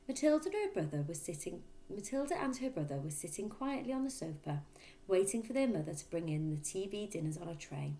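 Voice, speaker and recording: A woman reading a children's book aloud, in expressive, emphatic storyteller narration. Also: lowish voice, a little fast. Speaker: storybook reader, teacher.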